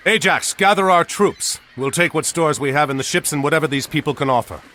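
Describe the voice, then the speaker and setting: An actor playing a bold, resolute middle-aged warrior hero in a video game, with a commanding delivery. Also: male voice, on the high side, fairly quick, sharp tone, a little hiss or hum. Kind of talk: scripted video game cutscene acting.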